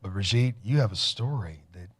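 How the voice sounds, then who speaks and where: Low-voiced encouraging TV host, man. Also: fairly quick, breathy edge. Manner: affirming, encouraging interjections. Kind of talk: studio interview hosting. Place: TV studio.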